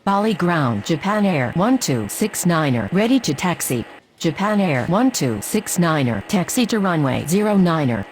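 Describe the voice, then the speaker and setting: A woman's voice: lowish voice, big pitch swings, moderate pace, slight background noise. A woman voicing a procedural pilot and ground controller in a game, speaking in formal, scripted radio calls. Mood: businesslike. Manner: formal, formulaic phrasing.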